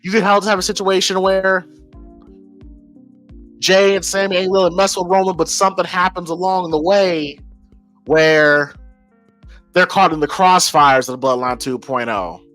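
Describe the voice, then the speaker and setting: An American man with a somewhat high voice hosts a casual podcast over background music. He talks informally and with emphasis, working through his opinions aloud and repeating phrases as he goes. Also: fairly even intonation, normal speed, long pauses, fairly bright tone, no room sound. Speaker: wrestling podcast host.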